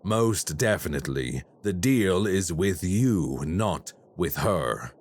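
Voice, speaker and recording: A man voicing a cold, calculating crime boss in an audio roleplay, speaking in a slow, measured and formally menacing way. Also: deep voice, lively intonation, a little mellow, full character voice. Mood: satisfied.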